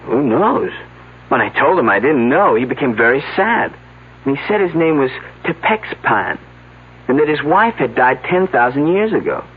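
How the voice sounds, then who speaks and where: A man's voice: medium pitch, big pitch swings, normal speed, husky. An actor playing an earnest, slightly flustered young man from an archaeological dig, answering questions in a mystery drama. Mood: earnest, recounting. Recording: narrow, slightly noisy phone-like old broadcast audio.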